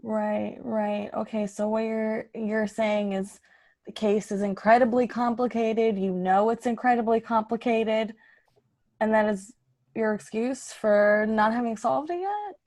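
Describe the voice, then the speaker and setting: A woman's voice: fairly high pitch, measured pace, a little mellow. A woman playing a stern, exasperated police boss with a firm, dry, natural delivery in improvised radio comedy.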